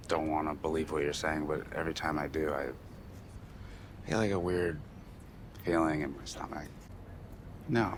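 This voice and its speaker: An actor playing an awkward young man, speaking haltingly and earnestly in a naturalistic screen style. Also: extremely low pitch, a little hiss or hum. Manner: halting, hesitant.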